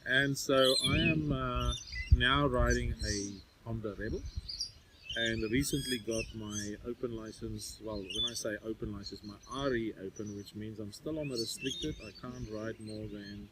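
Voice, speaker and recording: A man's voice: fairly low pitch, unhurried. An older man with a South African accent co-hosting a casual chat at a campsite, talking loosely with lots of ums and laughs. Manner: chatty, many ums and uhs, laughs often. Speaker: podcast co-host. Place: outdoors at a campsite. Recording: loud outdoor background noise.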